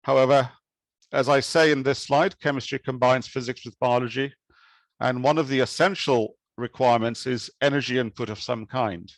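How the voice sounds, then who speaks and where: Measured Kenyan-born man giving an academic talk. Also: mid-range voice, steady tone, dry recording. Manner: measured, thoughtful, with occasional restarts. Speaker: conference speaker.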